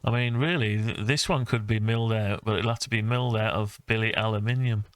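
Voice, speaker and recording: A man talking through his own design project informally, thinking aloud with hesitant, halting phrases. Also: fairly low pitch, fairly even intonation, no room sound. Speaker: hobbyist maker presenting own design project. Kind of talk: informal screen-recorded CAD design walkthrough.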